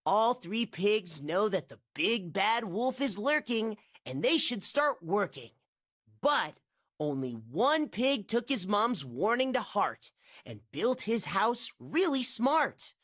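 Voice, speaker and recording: A woman's voice: mid-range voice, big pitch swings, slow pace, a bit bright, no echo, studio quality. A woman giving scripted rhyming storybook narration in a sing-song, bouncy way.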